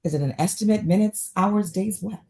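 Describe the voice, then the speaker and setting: A woman's voice: medium pitch, a little fast, gravelly, mellow, husky. A woman playing a stressed, wary, sardonic doctor in a naturalistic table read of a drama script. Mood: exasperated.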